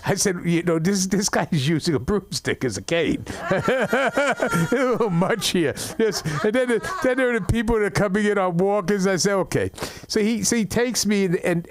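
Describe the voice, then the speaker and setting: A man's voice: high voice, moderate pace, no room sound. Rambling middle-aged man doing stand-up. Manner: rambling, repeats words and restarts, deadpan complaints. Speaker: stand-up comedian. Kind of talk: open mic stand-up set. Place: comedy club open mic.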